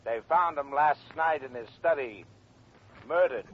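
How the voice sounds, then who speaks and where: A businesslike insurance boss, played by a man, lays out the facts plainly in a somewhat high voice. The sound is dull and lo-fi, a 1950 radio broadcast with a faint hiss underneath. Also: measured pace, natural voice. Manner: matter-of-fact, briefing with the facts. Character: brisk male insurance company boss. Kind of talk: 1950s radio drama acting.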